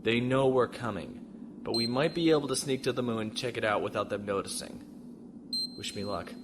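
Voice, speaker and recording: An actor playing a calm, determined spaceship pilot in a science fiction audio drama, speaking in clipped commands. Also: male voice, mid-range voice, a little fast, noisy background, close to an everyday voice. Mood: quiet resolve. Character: calm, determined male spaceship pilot, steady under fire.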